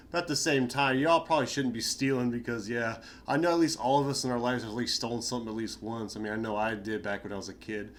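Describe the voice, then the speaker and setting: A man's voice: mid-range voice, a bit bright, slight background noise. A young man with a stuffy, nasal voice from a cold, sounding tired and chatting casually in a rambling, slangy way with lots of fillers. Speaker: YouTuber. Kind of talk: casual commentary on reddit stories.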